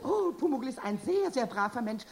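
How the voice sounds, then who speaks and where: A tiny, cheeky kobold chatters in a high, squeaky cackle. The delivery leaps all over, tripping into excited repetitions and singsong rhymes for a children's radio play. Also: male voice, moderate pace, creaky, a little hiss or hum, heavily acted. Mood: boastful, mischievous. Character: small, cheeky, rhyming kobold boy, giggly and mischievous.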